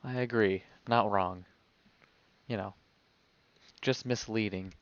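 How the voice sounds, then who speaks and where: A man's voice: on the low side, fairly even intonation, slow pace, no room sound, muffled recording. A man streaming a video game and giving casual live commentary as he plays.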